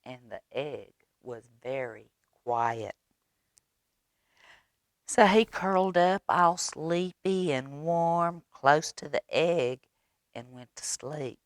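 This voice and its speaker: A woman reading a children's story aloud expressively, with dramatic pauses and coaxing questions to young listeners. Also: sits low, lively intonation, no room sound, clean studio sound. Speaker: library storytime reader.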